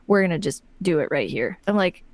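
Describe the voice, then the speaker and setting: An American woman co-hosting a podcast in casual, rambling conversation, telling stories in an animated way. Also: fairly low pitch, fairly quick, no room sound. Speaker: podcast co-host. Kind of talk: casual chat, storytelling.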